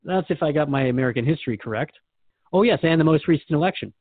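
A man's voice: on the high side, expressive, fast talker, dry recording. A man hosting a radio show in an earnest, inquisitive, conversational manner, recorded dry and somewhat muffled.

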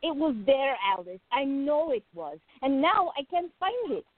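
A woman's voice: high-pitched, very animated, normal speed, dry recording. A woman playing an outgoing, teasing gallery worker in a radio play, talking in a playful, emphatic way. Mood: insistent. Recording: tinny, phone-like narrow sound.

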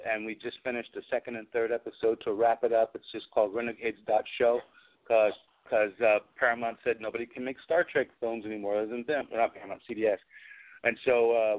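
A man's voice: medium pitch, flat delivery, a little fast. A man giving an informal, matter-of-fact update as a guest in a casual interview. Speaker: guest, costume and prop maker. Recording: dull lo-fi call audio.

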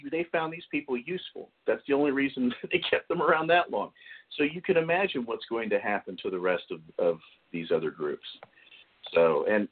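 Male podcast host, lowish voice, hesitant delivery with many restarts, tinny call-line sound. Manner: halting, repeats words often. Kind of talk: casual podcast discussion. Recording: tinny phone-like call audio.

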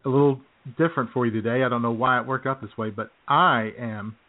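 Male talk host, easygoing banter, mid-pitched, lo-fi phone sound. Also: steady tone, quick pace. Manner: laid-back, chuckling banter. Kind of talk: casual radio chat. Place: home studio in a small town. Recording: tinny phone-like line.

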